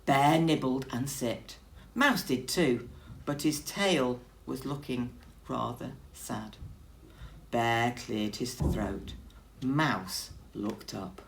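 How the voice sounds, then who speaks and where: A woman's voice: very deep voice, very slow, creaky, slight background noise, low-quality recording. A woman teacher reading a story expressively to young children.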